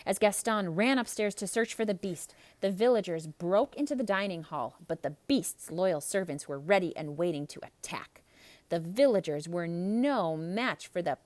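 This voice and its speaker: A woman reading a children's story aloud in an expressive, theatrical narrating style. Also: on the low side, normal speed, dry recording. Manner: expressive, dramatic.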